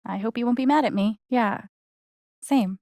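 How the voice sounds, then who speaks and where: A woman's voice: on the high side, normal speed, vocal fry, a little mellow, dry recording, studio quality. An actress playing a guarded, wry young woman in a video game, speaking in a casual, hesitant, understated way. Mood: friendly, curious. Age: young adult. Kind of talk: naturalistic video game voice acting.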